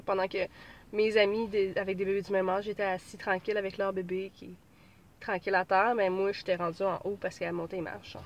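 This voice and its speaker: A woman in her thirties with a Québécois French accent talks casually from inside her car. She has a cold and sounds a little stuffy. She rambles and restarts, with plenty of fillers. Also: mid-range voice. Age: 30s. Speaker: pregnancy vlogger. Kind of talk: casual vlog chat.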